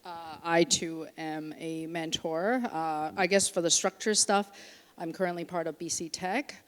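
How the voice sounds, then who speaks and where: Woman, founder from Canada, fairly low voice, chatty panel talk, long hall reverb. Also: steady tone, unhurried. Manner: chatty, restarts sentences. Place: conference stage.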